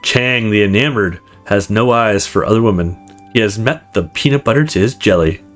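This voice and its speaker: A man's voice: fairly low pitch, moderate pace, a bit bright, faint music behind, lightly acted. A man voicing a lovestruck, boastful young best friend in a visual novel game. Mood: smitten. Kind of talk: visual novel character read-aloud.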